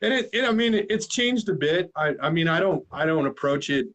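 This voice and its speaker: A man's voice: on the high side, animated, a little fast, fairly bright tone. Man, 40s, joking host, casual swearing, video call. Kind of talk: casual chat.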